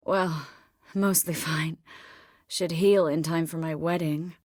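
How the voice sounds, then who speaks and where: A woman voicing an earnest, tender, quick-witted young sorceress in dramatic fantasy video game dialogue. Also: low voice, steady tone, a little mellow, dry recording, clean studio sound. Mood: wry, tender.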